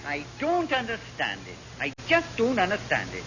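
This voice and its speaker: Scheming Welsh sailor, high and animated. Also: male voice, normal speed, muffled recording, music in the background. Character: scheming, ambitious Welsh naval rating, gleefully vengeful. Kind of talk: classic British radio sitcom acting. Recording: noisy, band-limited vintage radio recording.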